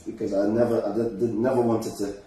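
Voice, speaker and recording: Man from Trinidad and Tobago, chatty co-host, warm low tone, far from the mic. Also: flat delivery, quick pace. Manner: chatty, rambling, jokey. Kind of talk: casual live chat. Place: community lounge at a railway station. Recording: very echoey room, distant mic, faint noise.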